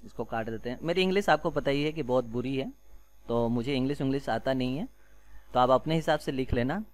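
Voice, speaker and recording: A man's voice: mid-range voice, brisk. Indian man teaching a casual coding tutorial. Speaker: programming tutorial instructor. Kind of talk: informal step-by-step screencast tutorial.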